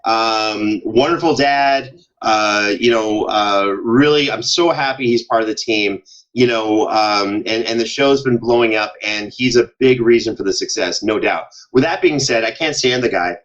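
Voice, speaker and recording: A man chatting casually as a podcast guest, telling animated stories and acting out the exchanges he quotes. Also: lowish voice, moderate pace, lo-fi sound. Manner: banters, acts out quoted exchanges. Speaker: podcast guest, show co-host and audio drama producer.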